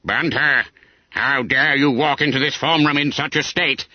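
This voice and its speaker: A man's voice: medium pitch, big pitch swings, a little slow, no room sound, lo-fi sound, pitched above the performer's own voice. A man voicing a stern, outraged schoolmaster who scolds imperiously in a story reading.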